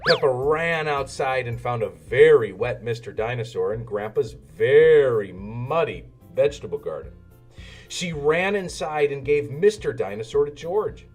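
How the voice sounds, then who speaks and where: A man reading a children's story aloud in an animated narrating style. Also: mid-range voice, a little slow. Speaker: storybook reader. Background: music.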